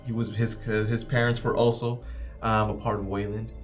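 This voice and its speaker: A man with a fairly low voice improvises a character description as a tabletop player. He talks casually and haltingly, feeling his way through it, over faint background music. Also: flat delivery. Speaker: player in a tabletop roleplaying game. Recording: music playing underneath.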